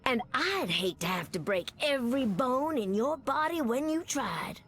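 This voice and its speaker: An actress playing a sassy, flirty superheroine with a Southern American accent and folksy pet names, in a video game. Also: female voice, high voice, animated, unhurried, fairly bright tone. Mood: sassy, confident.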